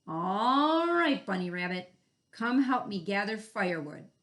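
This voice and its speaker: A woman's voice: medium pitch, big pitch swings, measured pace, a bit bright. A woman voicing a short-tempered, scruffy varmint in a children's story, snarling and barking orders. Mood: irritated.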